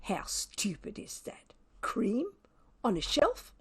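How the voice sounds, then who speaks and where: Smug storybook cat, read by a woman, swooping pitch. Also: wildly swooping pitch, slow pace, slightly rough, full character voice. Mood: gleefully mischievous. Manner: dramatic, emphatic, wry delivery. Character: Tuffy, smug sardonic mischievous pet cat narrator. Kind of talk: children's storybook read aloud.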